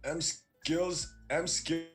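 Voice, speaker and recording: A man's voice: on the high side, fairly even intonation, very slow, a bit bright, soft background music. Slangy joking young man. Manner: slangy, laid-back joking. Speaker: student in a class breakout group. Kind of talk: casual chat. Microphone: video call.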